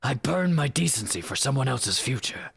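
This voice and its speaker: Man acting a raspy, bitter, weary rebel. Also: mid-range voice, big pitch swings, fairly bright tone, dry recording, voice pushed up, full character voice. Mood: bitter, grave. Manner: intense, dramatic, deliberate delivery. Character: weary, bitter rebel who sacrificed everything for the cause. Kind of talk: dramatic monologue read.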